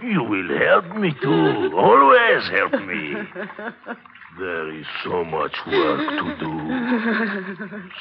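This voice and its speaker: An actor playing a mad, gleeful old man with a French accent and a raspy, leering, wheedling voice, in old-time radio horror style. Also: on the high side, huge pitch swings, a crowd in the background, full character voice. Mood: menacing, coaxing. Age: older. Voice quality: raspy, croaking. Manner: leering, wheedling, singsong with slow drawn-out phrases. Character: mad, gleeful old Frenchman who carves human bones in sewers. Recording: muffled old radio drama recording.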